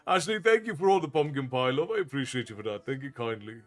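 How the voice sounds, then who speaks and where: A man's voice: mid-range voice, fast. Chatty man with an Irish-American accent. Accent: Irish mixed with American. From Ireland. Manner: relaxed, chatty, thanks viewers often. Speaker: streamer. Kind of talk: casual chat with viewers.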